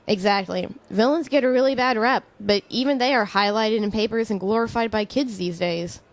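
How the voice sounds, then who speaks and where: A woman playing a friendly, reflective middle-aged chemistry professor in an audio drama, speaking in a measured, explanatory way. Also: on the high side, lightly acted. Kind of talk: conversational audio drama acting.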